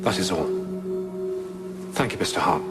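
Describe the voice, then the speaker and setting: An actor playing a stern, clipped lawyer pressing a witness in a courtroom audio drama. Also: male voice, deep voice, lively intonation, normal speed, over loud music. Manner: formal, clipped, accusatory. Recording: echoey hall reverb.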